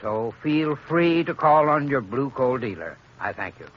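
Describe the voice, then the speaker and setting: A man with an American accent reading a scripted 1930s radio advert in a measured, instructive way. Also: mid-range voice, very animated. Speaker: advertising spokesman. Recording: muffled, noisy 1930s radio recording.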